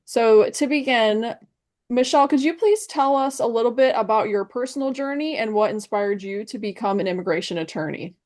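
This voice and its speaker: A woman's voice: a little high, a little fast, darkish tone. Young-sounding woman intern reading an introduction. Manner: polite, formal introduction with small slips. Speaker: social justice intern, interviewer.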